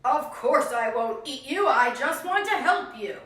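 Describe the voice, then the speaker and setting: A woman's voice: sits high, lively intonation, a little slow, fairly bright tone. A woman voicing a sly fox who sounds falsely helpful and reassuring, in a children's storybook read-aloud. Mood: sly, coaxing. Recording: echoey room, distant microphone.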